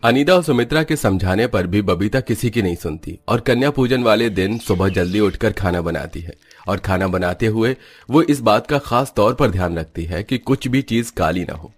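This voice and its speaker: A man's voice: on the low side, lively intonation, moderate pace, slightly rough. A man giving scripted narration for a cartoon story, as its storyteller.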